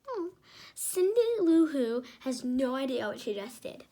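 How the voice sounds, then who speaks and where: A woman with a high, light-pitched voice reads a children's story aloud. She is expressive and chatty, slipping between the text and casual comments on the pictures. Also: measured pace.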